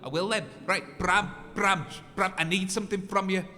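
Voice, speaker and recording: A man's voice: high-pitched, fairly even intonation, sharp tone. A man playing a pompous, defensive spirit medium in an audio comedy, stammering and theatrical. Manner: stammering, theatrical, quick to take offence. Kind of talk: comedy audio drama acting. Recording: reverberant hall.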